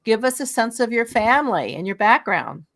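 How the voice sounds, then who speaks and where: A woman's voice: a little high, big pitch swings, normal speed, a bit bright, a little hiss or hum. A woman hosting an interview in a casual, friendly, informal way. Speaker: interview host.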